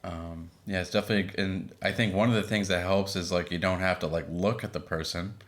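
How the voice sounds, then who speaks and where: A man in his thirties co-hosting a podcast with his wife, chatting casually with a relaxed, filler-laden manner. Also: low voice, fairly quick. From Boston area. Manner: relaxed, many fillers and restarts. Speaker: podcast co-host. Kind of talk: casual chat.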